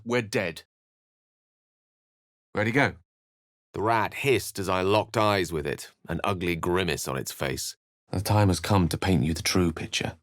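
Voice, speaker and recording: An actor playing an erudite, courteous wizard in a fantasy video game, speaking in an eloquent, formal and dramatic way, with wry and melancholy shades. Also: male voice, on the low side, fairly bright tone, no echo, studio quality. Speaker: video game companion character. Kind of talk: theatrical fantasy game dialogue and narration.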